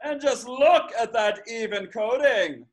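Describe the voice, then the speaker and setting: A man playing a showy, easily amazed cartoon contest announcer with a dramatic delivery. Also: very high-pitched. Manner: drawn-out, dramatic. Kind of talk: cartoon sports commentary.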